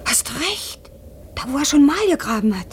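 An actress playing a nosy, excitable woman in a German radio play, speaking with hushed urgency. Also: sits high, normal speed, slight background noise. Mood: tense curiosity. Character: nosy, excitable woman watching a neighbour in secret.

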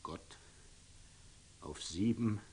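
An actor playing a grave, pious, devoted father in a classical radio drama, declaiming measured verse. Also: lowish voice, darkish tone, slight background noise. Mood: grieving, hushed.

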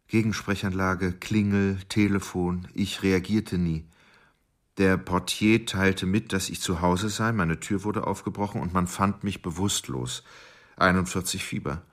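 A man's voice: deep voice, fairly bright tone. An actor playing a remorseless killer who narrates his crimes in a detached way in a radio play. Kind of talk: dramatic first-person radio play monologue.

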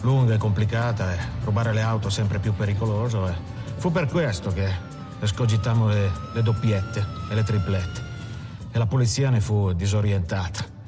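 An actor playing a coolly calculating Italian robber, narrating his crimes in a deliberate, matter-of-fact way. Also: lowish voice, close to an everyday voice. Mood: calculating, proud. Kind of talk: dramatic first-person narration. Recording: loud music under the voice.

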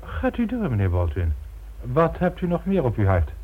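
An actor playing a megalomaniac, smugly polite criminal mastermind in a radio drama, making grandiose, condescending speeches. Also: male voice, medium pitch, wildly swooping pitch, normal speed, breathy edge. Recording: dull lo-fi old radio recording.

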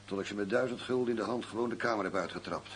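An actor in a radio drama playing a wry, world-weary undercover agent who poses as a gangster boss. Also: male voice, lowish voice, racing, a little hiss or hum, close to an everyday voice.

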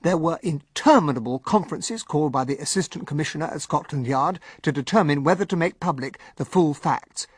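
Radio drama narrator-sidekick: English gentleman, earnest, measured, lightish higher pitch, dry close sound. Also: animated, slightly rough, no echo. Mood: earnest narration.

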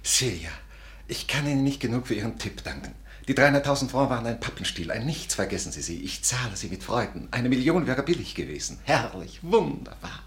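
A man's voice: medium pitch, sing-song, a little fast. A man playing a wealthy, extravagant Parisian art dealer in a 1950s German radio drama, expansive and enthusiastic in manner. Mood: elated.

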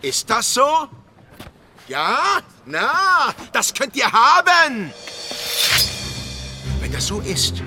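An actor playing a swaggering, teasing pirate captain in a radio drama, with a theatrical, taunting and menacing delivery. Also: male voice, extremely high pitch, huge pitch swings, drawn-out words, creaky, long pauses, heavily acted. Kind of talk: German radio drama acting. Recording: studio radio play under loud music.

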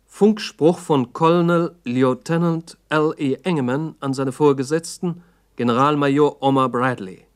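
A man's voice: a little high, unhurried, smooth. A man narrating a scripted radio documentary in a formal, factual reporting manner.

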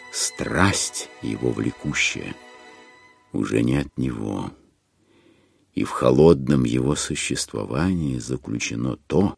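An actor playing a solemn, philosophizing author who narrates a literary audio drama in a declamatory manner with weighty pauses. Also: male voice, a bass voice, vocal fry, heavily acted. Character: solemn, philosophizing male author musing on human passions.

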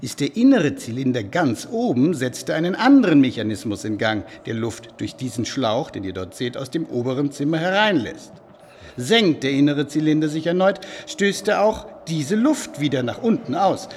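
Actor as proud, animated medieval inventor, higher-voiced man. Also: normal speed, a little hiss or hum, natural voice. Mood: proudly explaining. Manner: explanatory, emphatic. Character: proud male medieval inventor defending his machines. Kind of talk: German radio play dialogue.

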